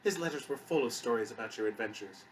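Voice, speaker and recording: A man voicing a kindly elderly woman, a gentle and talkative grandmother in an audiobook story. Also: mid-range voice, expressive, fast, distant mic, a little hiss or hum, close to an everyday voice, poor audio. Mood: fond, chatty.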